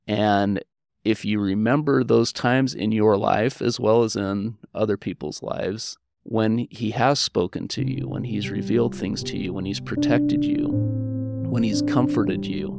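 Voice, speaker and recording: A man's voice: fairly low pitch, steady tone, unhurried, creaky, music in the background. An American man speaking as an interviewed historian, explaining and telling stories in an earnest, informal, conversational way. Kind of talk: conversational explaining and personal storytelling.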